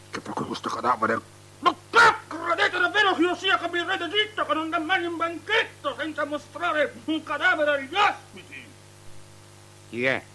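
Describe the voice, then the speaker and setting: An actor playing a capricious, superstitious drunken king in a mannered avant-garde radio drama, swinging from mutters to loud declaiming. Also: fairly high pitch, a little hiss or hum, full character voice, low-quality recording. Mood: uneasy, superstitious. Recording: radio drama with music underneath.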